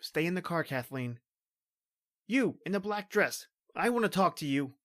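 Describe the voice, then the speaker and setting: Low voiced lady doing a gruff protective policeman guy, old radio horror play, melodramatic, dry close sound. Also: expressive, normal speed, no echo, natural voice. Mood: commanding. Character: stoic, protective male country police officer. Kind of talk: old-time radio horror drama acting.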